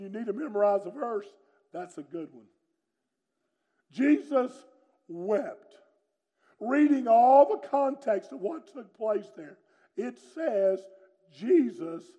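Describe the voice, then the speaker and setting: A middle-aged preacher with a booming, forceful way of talking. His voice sits high for a man but has a mellow, warm tone. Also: lively intonation, takes it slowly, highs cut off. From North Carolina, USA. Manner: booming, loud and strong delivery. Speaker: pastor. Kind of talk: sermon with personal testimony.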